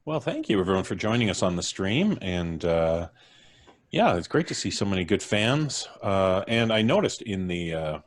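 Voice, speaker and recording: A man's voice: deep voice, sing-song, normal speed. A man chatting genially and casually as the host of a live stream. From Canada. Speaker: podcast host.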